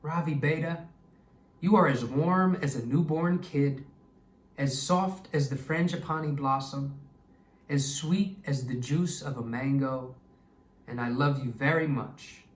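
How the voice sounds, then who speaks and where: A man voicing a kindly, good-humored old grandfather from a children's storybook, talking warmly to his grandchildren. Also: mid-range voice, unhurried, lightly acted. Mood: tender. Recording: echoey room, distant microphone.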